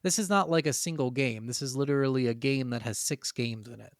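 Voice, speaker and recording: A man's voice: mid-range voice, a little fast, dry recording, clean studio sound. A man co-hosting a casual gaming podcast, talking informally and swearing freely. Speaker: podcast co-host.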